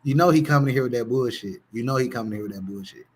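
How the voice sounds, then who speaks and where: A man's voice: mid-range voice, quick pace, slightly rough. A man co-hosting a casual livestream, joking around in slang and laughing easily. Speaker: co-host.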